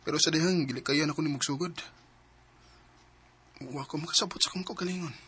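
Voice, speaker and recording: A man playing a remorseful, pleading young suitor in a radio drama, speaking earnestly and haltingly with a Cebuano accent. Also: fairly high pitch, pushed and bright, slightly rough. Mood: confessing, pleading. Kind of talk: Philippine radio drama acting. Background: faint music.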